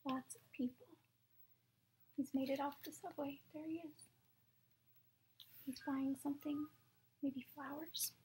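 A young adult woman reading picture books to children at story time in a soft, hushed, breathy manner. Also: sits high, fairly even intonation, measured pace, long pauses, rough recording. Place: small room at home, indoors.

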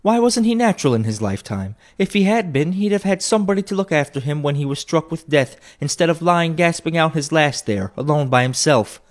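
A brazen charwoman with a shrill, scornful edge, talking boldly and without shame, as a male narrator puts her on. Her voice sits far above a man's usual register in a pinched falsetto. It is a storybook reading. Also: expressive, fairly quick, few pauses, close to an everyday voice.

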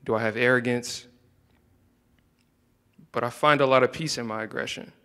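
A young man reading his own spoken word poetry into a handheld microphone, soft-spoken and hesitant between poems. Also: medium pitch, steady tone, normal speed, clean tone, in a large hall. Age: young adult. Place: indoor room, standing by a wall.

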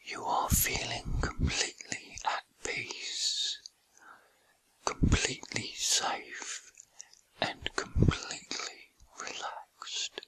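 A man whispering a guided meditation in a soothing, gentle, breathy ASMR style with unhurried pauses. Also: low-quality recording.

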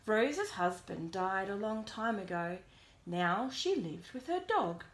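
A woman reading a bedtime storybook aloud to a young child in an expressive, deliberate way, pausing to prompt. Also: medium pitch. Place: small bedroom at home. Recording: roomy echo, background noise, clicks.

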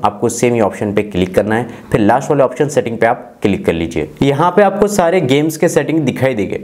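A man's voice: medium pitch, darkish tone. Young Indian man explaining step by step. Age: 20s. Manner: explanatory, step-by-step, mixing in English tech terms. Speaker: tech reviewer and channel host. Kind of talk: scripted-sounding phone feature walkthrough.

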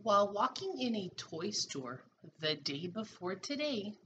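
Female pre-K teacher, middle-aged, storybook read-aloud; bright, animated, slow-paced delivery. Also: medium pitch, highs cut off, a little hiss or hum. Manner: expressive, deliberate storytelling for young children. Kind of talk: children's picture book read-aloud. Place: small room at home, indoors.

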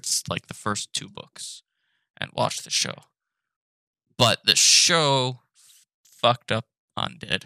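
A young man ranting profanely and with exasperation from his apartment, now and then breaking into shouts. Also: medium pitch, no room sound, clean studio sound. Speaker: animated channel host. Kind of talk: ranting commentary.

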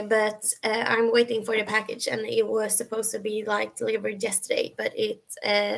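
A young woman from Sweden with a Swedish accent and a high, feminine voice, chatting casually with viewers on a webcam livestream from her bedroom, full of fillers and restarts. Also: gravelly, rough recording. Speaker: livestream host. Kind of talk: casual live chat answering viewers. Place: bedroom at home, indoors.

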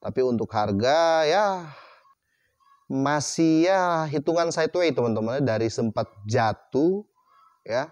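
A man giving casual commentary as a crypto channel host, reading text aloud haltingly and tagging "teman-teman" onto most phrases. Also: medium pitch, lively intonation, a little mellow, no echo. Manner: chatty, repeats "teman-teman" after most phrases. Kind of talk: casual screen-share commentary.

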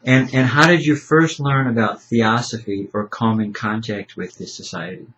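An older man, a librarian, hosts an interview in a book-lined library room, talking with many hesitations and a gentle joking tone. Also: medium pitch, steady tone, moderate pace, a little hiss or hum. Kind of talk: casual interview. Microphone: camera mic at table distance. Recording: slightly dull lo-fi webcam audio.

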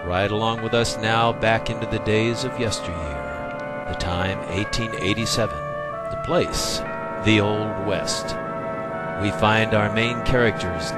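A man narrating an old-time radio western in a dramatic, announcer-like way, with loud guitar music behind him. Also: fairly low pitch. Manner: theatrical, announcer-like.

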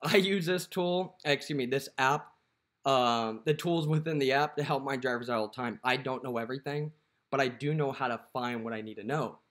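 Young man, mid-pitched, casual walkthrough, filler-heavy, close-up camera. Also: moderate pace, fairly bright tone. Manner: conversational, filler-heavy, lots of "you know". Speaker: dispatcher and app promoter.

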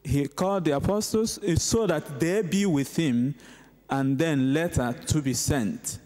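Slow-paced man preaching a homily. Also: fairly high pitch, a little mellow. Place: inside a large church, at the lectern. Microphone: gooseneck lectern microphone.

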